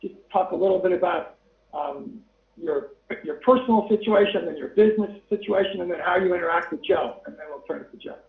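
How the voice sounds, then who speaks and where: A man's voice: sits high, a little fast, vocal fry. A man hosting a webinar, chatting casually with many fillers. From Northern Colorado. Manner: chatty, rambling, lots of fillers. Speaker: webinar host. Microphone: video call. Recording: tinny phone-like line, roomy echo.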